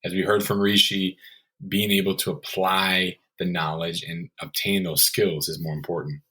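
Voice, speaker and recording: A man's voice: deep voice, level tone, normal speed, bright, forceful tone. A man presenting a podcast in a scripted narration style, as its host.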